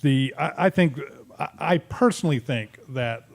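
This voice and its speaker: A man's voice: fairly high pitch, lively intonation, moderate pace, vocal fry. Sarcastic man hosting a talk podcast. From Massachusetts. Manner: sarcastic, joking, with frequent restarts. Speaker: podcast host. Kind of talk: casual political chat.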